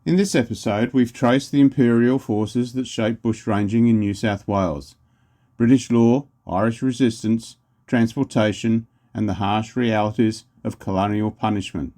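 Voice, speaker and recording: A man's voice: lowish voice, normal speed, dark tone. A man reading scripted narration as a podcast announcer, in a composed documentary style. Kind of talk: scripted narration, episode summary.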